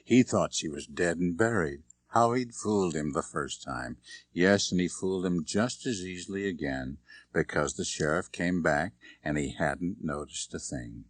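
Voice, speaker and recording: A man with a deep, low voice narrates a suspense audiobook. His reading is measured and dramatic, building tension, and the sound is very dry and close. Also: dry recording.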